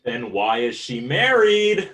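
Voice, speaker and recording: A woman's voice: rumbling low, very animated, slow pace, fairly bright tone, exaggerated voice. A young woman playing a plaintive, put-upon husband in a stage comedy.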